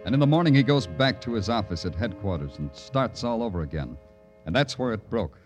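An actor playing a tough, weary New York police lieutenant in old radio crime drama style, clipped and terse. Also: male voice, fairly low pitch, lively intonation, a little fast, lightly acted. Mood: weary, brooding. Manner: clipped, hard-boiled, terse. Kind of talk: 1950s radio crime drama acting and narration. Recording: old radio broadcast with music behind.

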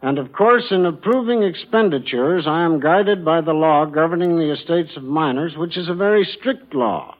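A man's voice: on the high side, animated, normal speed, hardly any pauses, phone-quality sound. An American man speaking formally and courteously as a guest quizmaster, in prepared talk on a 1940s radio broadcast. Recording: thin band-limited 1940s radio broadcast.

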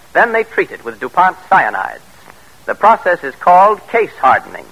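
American guy doing old 1940s radio announcing, forceful and a bit raspy, measured emphatic delivery. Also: fairly high pitch, low-quality recording. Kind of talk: 1940s radio scripted narration. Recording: vintage radio broadcast.

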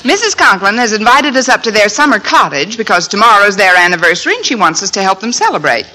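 An actress playing a wisecracking English teacher in an old radio sitcom, with dry, sardonic delivery. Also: female voice, mid-range voice, big pitch swings, bright, forceful tone. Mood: playful, pushy. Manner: dry, sardonic, quick with quips. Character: wry, wisecracking unmarried woman English teacher. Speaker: comedy actress. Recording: noisy vintage radio recording.

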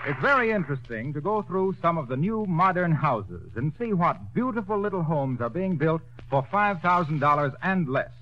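A man's voice: on the high side, sing-song, moderate pace, hardly any pauses, noisy background. A male radio announcer reading a sponsor commercial and introducing a 1930s radio comedy with a polished, declamatory sales delivery. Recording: dull old radio broadcast recording.

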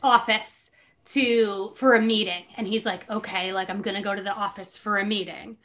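A woman's voice: medium pitch, steady tone, moderate pace, fairly bright tone. A woman hosting a podcast, giving a dramatic book reading with casual, amused asides and theatrical emphasis.

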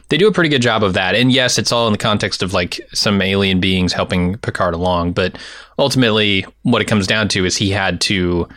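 A man's voice: lowish voice, pushed and bright, dry recording, clean studio sound. A man co-hosting a podcast, reasoning earnestly through a casual analysis and often restarting his sentences. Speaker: podcast co-host.

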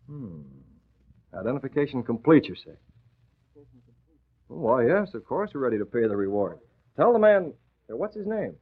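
An actor playing a shrewd, composed newspaper publisher in a 1940s radio drama. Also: male voice, fairly high pitch, slightly rough, heavily acted. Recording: tinny old radio recording.

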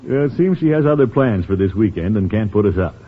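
Self-important middle-aged uncle, rough husky voice, thin old radio sound, comedy show. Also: male voice, on the low side, animated, fast talker. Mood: hesitant. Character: pompous fussy middle-aged uncle, easily put upon. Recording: tinny vintage radio recording.

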